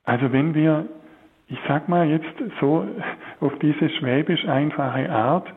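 A priest talks slowly and deliberately, hesitating often as he reaches for the right word. His voice has a husky grain. It comes through thin and tinny, like a narrow-band radio broadcast. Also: a little high. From Swabia, Germany. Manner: slow, searching, many hesitations. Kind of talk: spiritual talk on a religious broadcast.

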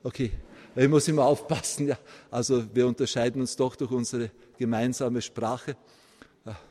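A man's voice: mid-range voice, big-room sound. A man from Vienna with a Viennese accent, preaching a sermon with emphatic peaks and frequent asides. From Vienna, Austria. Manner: animated preaching, rising to emphatic peaks, tag questions like "ja?". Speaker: priest.

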